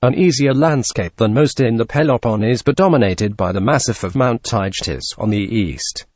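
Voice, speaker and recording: A man reading exam practice passages aloud in a measured, formal, scripted way. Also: mid-range voice, darkish tone, no echo. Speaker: test-practice model reader.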